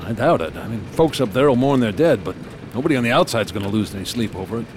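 An actor as a gruff, weary police detective. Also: male voice, lowish voice, lively intonation, fast, noisy background, close to an everyday voice. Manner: gruff, curt, tired. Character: weary city police detective, hard-bitten. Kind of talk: audio drama acting.

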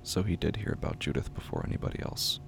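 A man reading scripted horror fiction as narrator, measured, deliberate and somber. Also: rumbling low, sing-song, gravelly. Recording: heavy constant background noise and hum.

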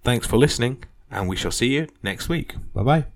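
A man's voice: medium pitch, expressive, normal speed. A man hosting a history podcast, talking casually and earnestly with plenty of fillers and restarts. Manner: rambling, earnest, many fillers.

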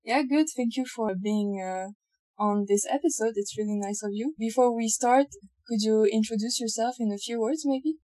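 A woman's voice: on the high side, normal speed, mellow. A woman hosting a podcast, politely prompting her guest with questions. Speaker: podcast host. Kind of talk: podcast interview.

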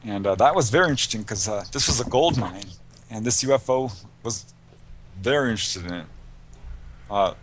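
A man's voice: on the low side, lively intonation, a little fast, long pauses, fairly bright tone. A man giving informal, explanatory answers as an interview guest. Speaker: guest, UFO researcher.

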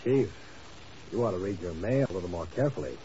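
An actor playing a determined, defiant secret agent in a 1950s radio drama. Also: male voice, deep voice, animated, quick pace, mellow. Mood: wry, defiant. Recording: dull, hissy 1950s radio recording.

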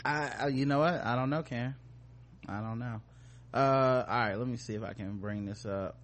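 A man's voice: medium pitch, normal speed. Male comedy podcast host, mid-range voice, loose and slangy, profane, conversational commentary.

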